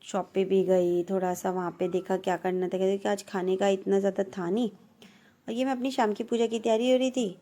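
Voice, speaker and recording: A woman in her thirties from India talks over her home vlog in a relaxed, chatty voice-over, teasing herself now and then. Her voice is warm and mellow at a middling pitch. A slight hoarseness sits in her throat throughout. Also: a little fast. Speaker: vlogger. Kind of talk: casual voice-over narration.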